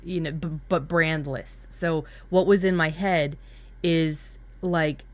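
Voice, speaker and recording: A woman's voice: sits low, fairly even intonation, takes it slowly. A woman in casual brainstorming chat as a workshop co-host, talking candidly and dramatically, with some swearing. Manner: candid, sweary, dramatic about spooky ideas.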